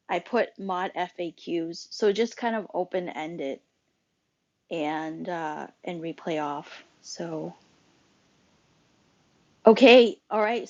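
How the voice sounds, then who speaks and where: A woman moderates a casual online audio room at a slow pace. She thinks aloud in a halting, rambling flow. The sound is slightly dull and lo-fi, like an internet voice chat. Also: fairly low pitch, darkish tone. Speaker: host and moderator of a live social audio room. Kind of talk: casual unscripted group chat. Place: live social audio app call.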